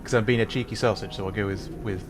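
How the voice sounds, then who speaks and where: A man's voice: on the low side, fairly even intonation, fast talker, over music. A man giving chatty, casual commentary while streaming a game, laughing and humming along. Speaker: VTuber streamer.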